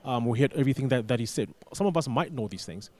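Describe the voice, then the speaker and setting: A man's voice: mid-range voice, expressive, a little mellow. A man giving conversational interview answers as a studio guest, often repeating words as he builds his point. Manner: repeats words, halting emphasis. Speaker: radio business manager, studio guest.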